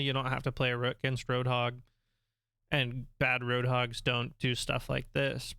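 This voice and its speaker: A man coaching players through a game replay, talking in a relaxed, instructive way with many ums, his voice a little nasal. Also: medium pitch, vocal fry, clean tone, dry recording, clean studio sound. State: slightly nasal, voice going.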